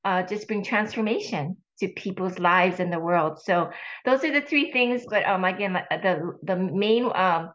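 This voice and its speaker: A woman in her sixties from Hawaii, talking earnestly as an interview guest, with halting restarts. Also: medium pitch, a bit bright, muffled recording. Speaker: guest, ministry leader. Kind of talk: casual interview talk.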